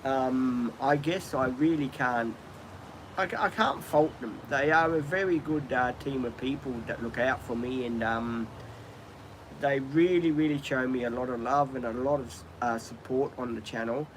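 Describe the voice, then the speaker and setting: A man's voice: medium pitch, moderate pace. Chatty Australian man, noisy outdoor stream. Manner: chatty, rambling, frequent ums and restarts. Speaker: livestream host. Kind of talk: casual live-stream chat. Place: outdoors, birds in trees nearby.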